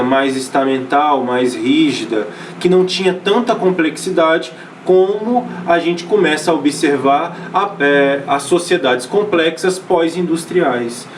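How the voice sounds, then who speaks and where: A man's voice: a little high, far from the mic, slight background noise, rough recording. A Brazilian man teaching a sociology class in a loose, conversational way, often restarting phrases and checking in with his students. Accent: Brazilian Portuguese. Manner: casual, many fillers and restarts, checks in with students. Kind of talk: recorded online class lecture.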